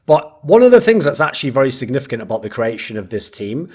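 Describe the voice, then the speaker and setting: A man in the interviewer's chair lays out long, rambling questions, building context at length before he asks. His voice sits at an ordinary middle pitch. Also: very animated, fast talker, darkish tone. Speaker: interviewer, show host.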